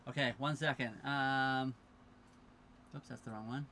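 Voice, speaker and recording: A young man hosts a casual livestream from the cabin of his sailboat, tied up at a marina. His mid-pitched voice sounds tired and laid-back as he reads out viewer questions and thanks followers. A faint hiss of room ambience sits under the recording. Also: a little slow. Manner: relaxed, chatty, thanks viewers for follows and gifts. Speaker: livestream host.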